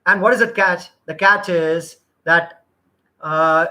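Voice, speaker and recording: A middle-aged man coaches job applicants in a live session. His voice sits high, and he talks in an earnest, rambling way, often restarting his sentences. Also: fairly even intonation, slow, pushed and bright, poor audio. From India. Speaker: interview trainer.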